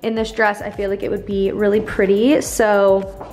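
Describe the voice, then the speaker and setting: A woman's voice: medium pitch, level tone, normal speed, a little mellow, faint music behind. A young woman in her twenties chatting casually to her camera, bubbly and full of "like", filming at arm's length in her apartment. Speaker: vlogger. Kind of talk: casual vlog chat. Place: bright home apartment, later inside a car. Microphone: handheld camera at arm's length.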